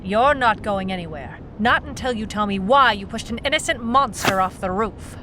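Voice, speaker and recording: A woman's voice: medium pitch, expressive, normal speed, background noise, natural voice. A woman playing a wry, hard-boiled private eye, clipped and dry, in a noir detective audio drama. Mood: tough, taunting. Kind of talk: noir detective narration and radio-drama acting.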